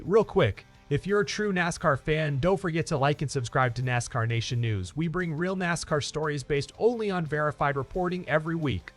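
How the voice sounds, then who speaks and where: A man narrating scripted motorsport news in an upbeat, emphatic presenter style. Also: fairly high pitch. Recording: music under the voice. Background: background music.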